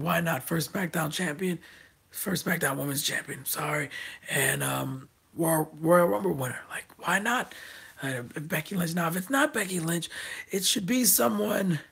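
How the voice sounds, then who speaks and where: A man who has lost his voice, talking through casual wrestling predictions with a hoarse, raspy voice and many ums, restarts and throat clearing. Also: a little high, a bit bright. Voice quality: raspy, gravelly. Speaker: wrestling vlogger.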